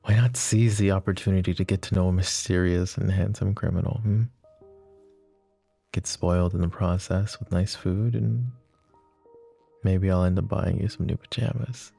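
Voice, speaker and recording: A man's voice: deep voice, steady tone, normal speed, vocal fry, mellow, slightly rough, faint music behind, heavily acted. A man playing a smitten, self-deprecating mafia boss in an intimate ASMR romance roleplay, murmuring hesitantly and teasingly. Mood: teasing, flirty.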